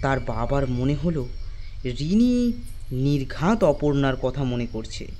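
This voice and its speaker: A man narrating a scripted ghost story in a deliberate, dramatic storytelling manner. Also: mid-range voice, big pitch swings, warm tone.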